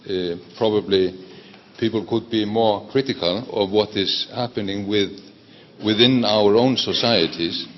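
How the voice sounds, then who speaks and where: A man speaks in a lowish voice and makes his points at a deliberate pace. The recording is dull and lo-fi, with faint noise behind it. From Iceland. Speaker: panelist, politician. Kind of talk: conference panel remarks.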